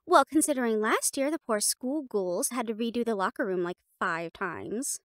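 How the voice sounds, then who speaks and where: A snobby catgirl cheerleader, voiced by a woman, sits high in her voice and talks down to everyone with a teasing edge. It sounds like a dry, close recording with no room around it. She stays bossy and catty throughout. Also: normal speed, no echo, lightly acted. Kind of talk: audio roleplay acting.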